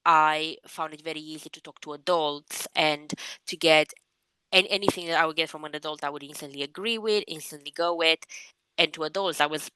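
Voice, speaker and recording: A young woman from Romania, a native Romanian speaker, giving thoughtful, measured interview answers with frequent pauses and restarts. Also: sits low, bright, forceful tone, clean tone, no echo, low-quality recording. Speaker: guest.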